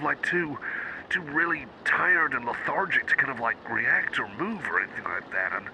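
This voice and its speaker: A man's voice: on the high side, background noise. A man playing a shy, nervous cyborg friend in an ASMR roleplay, rambling hesitantly and apologetically as if chatting one-on-one. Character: shy, nervous young male cyborg chum, rambling and awkward. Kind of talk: ASMR roleplay, intimate one-sided chat.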